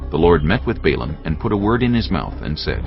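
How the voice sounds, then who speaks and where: A man's voice: rumbling low, big pitch swings, brisk. A man reading scripted narration for a dramatised audio Bible. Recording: dull audio under loud music.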